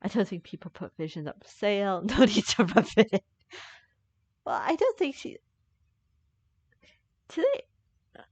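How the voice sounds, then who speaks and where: A woman's voice: medium pitch, no echo, lo-fi sound. A woman giving casual, jokey live commentary as she plays a game, reacting aloud to it. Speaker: game streamer.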